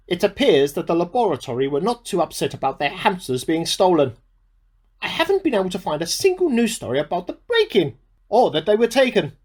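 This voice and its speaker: Someone acting a bemused, animated amateur scientist. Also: mid-range voice, breathy edge. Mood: suspicious, resolute. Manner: musing aloud, self-questioning, slightly formal and earnest. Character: bemused amateur scientist keeping an audio diary, increasingly suspicious. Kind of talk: radio play monologue, spoken audio diary.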